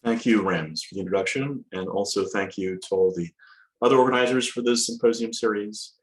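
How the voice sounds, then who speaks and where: A man with a slightly low, raspy voice, hoarse from a cold, delivers an academic talk through a video call. He speaks in a measured way, often pausing with ums and restarting phrases. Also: poor audio. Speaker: guest lecturer.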